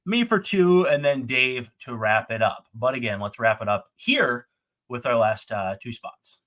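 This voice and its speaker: A man's voice: medium pitch, expressive, a little slow, a bit bright. A man co-hosting a casual sports podcast, rattling off statistics in an analytical way. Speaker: podcast co-host.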